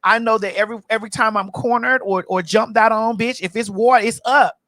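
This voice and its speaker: A woman's voice: a little high, steady tone, a little fast, a bit bright. A woman in her forties co-hosting a podcast, speaking, passionate and emphatic, repeating words as she builds a point. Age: 40s. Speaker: podcast co-host. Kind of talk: heated casual chat.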